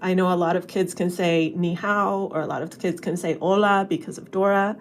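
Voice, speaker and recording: A woman's voice: lowish voice, fairly even intonation, a little fast. A woman linguistics professor explaining ideas conversationally to children, with casual fillers. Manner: chatty, with fillers.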